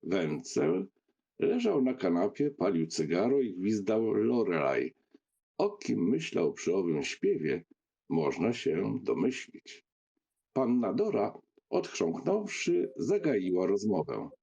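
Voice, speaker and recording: A man narrating a literary audiobook as an expressive storyteller. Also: deep voice, slow, gravelly, husky.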